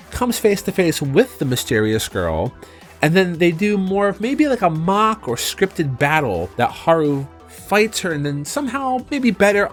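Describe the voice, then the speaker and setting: A man with a slightly high voice narrates a game review as a channel host. His pitch moves around in a lively, animated way, and he leans on key words in a conversational, semi-scripted delivery. Music plays faintly behind him. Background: background music.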